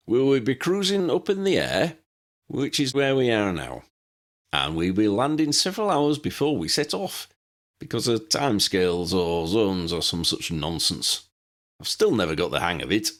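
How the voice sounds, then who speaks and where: Man putting on an eccentric old pilot, very animated. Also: fairly low pitch, normal speed, voice pushed up. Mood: announcing. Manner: jaunty, rambling, folksy. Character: eccentric, chatty old male aeroplane pilot.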